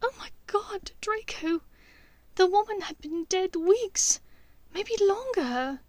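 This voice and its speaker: A woman's voice: extremely high pitch, animated, slow, darkish tone, no room sound, pitched above the performer's own voice, exaggerated voice. A woman voicing a frightened, guilt-ridden young witch in an audiobook, with a breathless, plaintive delivery. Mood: anguished, fearful. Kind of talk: audiobook character voice.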